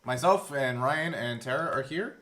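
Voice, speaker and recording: A man's voice: medium pitch, lively intonation, normal speed. A man chatting casually as host and game master on a podcast. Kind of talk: casual chat about a recorded tabletop game show. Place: indoors, in one room with the co-hosts.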